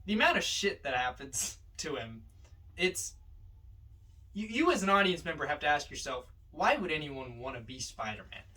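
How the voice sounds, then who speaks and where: Man, bright forceful tone, casual film talk, rhetorical questions, distant microphone. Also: a little high. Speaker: podcast co-host. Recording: distant mic.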